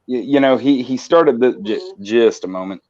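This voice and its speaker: A man's voice: medium pitch, normal speed, darkish tone. Guy hosting a morning stream, rambly and worked up, kinda muffled audio. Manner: rambling, passionate, many restarts. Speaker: host. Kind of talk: casual live-stream chat.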